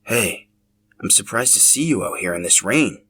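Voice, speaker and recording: A man voicing a shy, brooding young man in a story, speaking softly and hesitantly. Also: lowish voice, animated, normal speed, a little hiss or hum. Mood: gently pleased.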